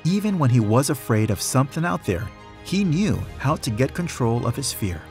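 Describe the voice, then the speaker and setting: Expressive man reading a rhyming storybook over faint music. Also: medium pitch. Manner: measured, rhythmic storytelling with emphasis. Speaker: storybook reader. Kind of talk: expressive read-aloud of a rhyming children's story.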